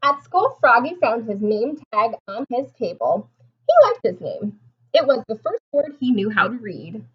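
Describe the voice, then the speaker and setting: Animated, high-voiced woman reading a storybook. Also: a little slow, slightly rough, muffled recording. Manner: expressive, explains and asks child-directed questions. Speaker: teacher reading aloud to young children. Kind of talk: animated storybook read-aloud with questions to listeners.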